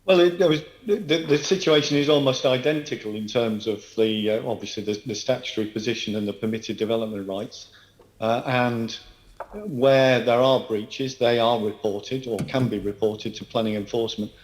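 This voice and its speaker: A man council officer answering a committee in measured, formal terms. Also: medium pitch, fairly even intonation, echoey room, low-quality recording. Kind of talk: committee testimony.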